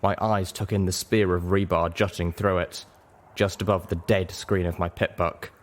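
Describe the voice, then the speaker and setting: Deep-voiced man narrating a story. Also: little pitch movement, moderate pace. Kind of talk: expressive audiobook narration.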